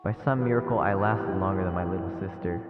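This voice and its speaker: Man reading as anxious young horror narrator, lowish voice, measured, foreboding, long reverb, loud music behind. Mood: brooding, uneasy. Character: anxious, brooding child narrator of a horror story. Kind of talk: dramatic story reading. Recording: echoey reverb, dull muffled sound. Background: game music.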